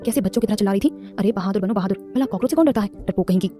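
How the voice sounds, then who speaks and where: A woman's voice: mid-range voice, rapid-fire, dark tone, soft background music, close to an everyday voice. A woman voicing a haughty, irritable young businessman in an audio story, with an emphatic, defensive, scolding manner. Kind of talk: dramatised audio story dialogue.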